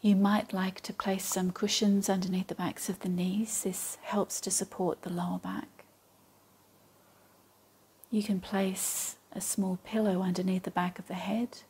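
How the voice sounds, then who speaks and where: Soft whispery woman doing guided yoga meditation, mellow and slow, calm with long gaps. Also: medium pitch. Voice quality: soft, breathy, partly whispery. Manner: calm, measured, long pauses.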